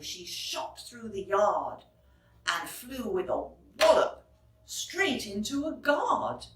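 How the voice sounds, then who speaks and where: A woman narrating a children's storybook expressively, phrasing clearly for young listeners, like a teacher reading aloud. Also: a little high, fairly bright tone. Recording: roomy echo, distant microphone.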